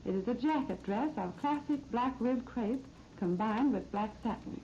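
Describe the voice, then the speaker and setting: A woman's voice: a little high, animated, moderate pace, warm tone. An American woman presenting scripted 1930s radio shopping advertising in a polished, promotional manner. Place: radio studio. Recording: muffled, noisy 1939 radio disc recording.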